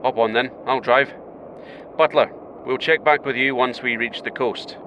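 A man's voice: mid-range voice, fairly even intonation, normal speed, sharp tone, lightly acted. Man playing an easygoing space captain, faint hum behind. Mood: brisk, dry. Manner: easygoing, dry humour. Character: calm, friendly male space mission captain, a father. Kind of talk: naturalistic audio drama acting.

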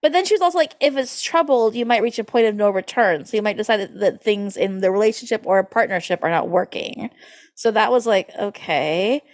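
A woman's voice: medium pitch, animated, fairly quick. A woman co-hosting a podcast, chatting casually and playfully in loose comedic riffs. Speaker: podcast co-host. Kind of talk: casual comedic chat about horoscopes.

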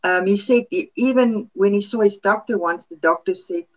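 A woman's voice: medium pitch, moderate pace. Woman with a lo-fi sound. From South Africa. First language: Afrikaans. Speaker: podcast panelist. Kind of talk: casual chat.

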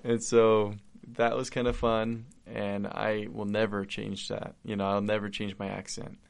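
Young man guest, earnest and heartfelt, low flat gravelly voice. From Argentina. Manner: earnest, heartfelt, halting with restarts. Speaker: podcast guest. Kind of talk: casual conversation.

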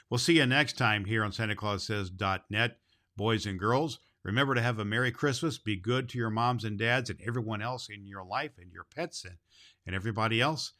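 A man's voice: on the low side, pushed and bright. A man playing a jolly, kindly Santa Claus who hosts a children's storytelling show in a cheery, folksy manner. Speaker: host and storyteller. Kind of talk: children's storytelling show, addressing boys and girls.

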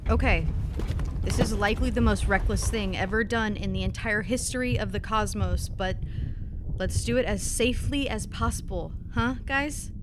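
A woman playing a tough, blunt spaceship crew officer with a brisk, commanding manner in an audio drama. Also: mid-range voice, noisy background, close to an everyday voice. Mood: wry, wary. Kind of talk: science fiction audio drama.

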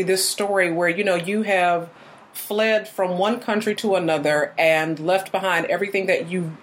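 Upbeat woman podcast host. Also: lowish voice. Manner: upbeat, polished, lilting delivery. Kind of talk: podcast interview hosting.

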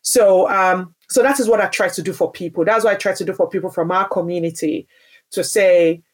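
A woman's voice: on the low side, fairly even intonation, fairly quick. A woman from Nigeria answering interview questions, speaking earnestly and emphatically about her career, often restarting her phrases. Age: adult, parent. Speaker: podcast guest, business founder. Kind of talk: interview answer, personal story.

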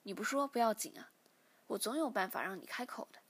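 A woman's voice: mid-range voice, lively intonation, fast talker, fairly bright tone, breathy edge. Give me a woman doing a hard male squad captain interrogating a captive in a spoken story, clipped and menacing, barely shifted from her own voice. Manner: curt, coldly menacing.

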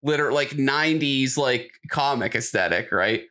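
Male co-host, mid-pitched and bright, loose slangy conversational delivery that wanders as it goes. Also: lively intonation, moderate pace. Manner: rambling, slangy, frequently saying "like". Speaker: podcast co-host. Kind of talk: casual chat.

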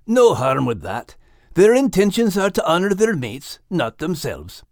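Storybook male dog, Scottish, feisty but kind, raised gravelly voice with bouncy pitch. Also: huge pitch swings, measured pace, dry recording, clean studio sound, heavily acted. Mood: mild, fond. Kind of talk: audiobook character acting and show hosting.

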